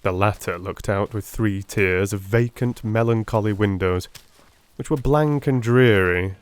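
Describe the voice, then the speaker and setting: A man narrating an audiobook in a dramatic, measured, literary style. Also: fairly low pitch, clear, resonant tone.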